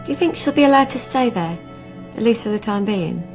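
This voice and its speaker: A woman's voice: a little high, lively intonation, brisk, music in the background. An actress playing a serious, subdued young woman under police questioning in a radio drama. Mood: subdued, flat. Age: young adult. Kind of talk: naturalistic radio drama acting.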